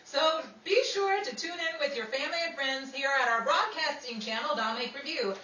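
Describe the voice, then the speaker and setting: A woman's voice: sits high, a little fast, pushed and bright. A playful, exclamatory woman hosting and directing an amateur radio drama. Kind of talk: announcing and directing rehearsal. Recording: distant, roomy, very noisy with crowd sound.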